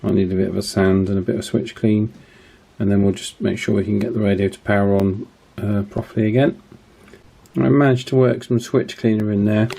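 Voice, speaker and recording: Low-pitched man explaining repairs casually. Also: fairly even intonation, a little fast, creaky, mellow. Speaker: host of a radio repair channel.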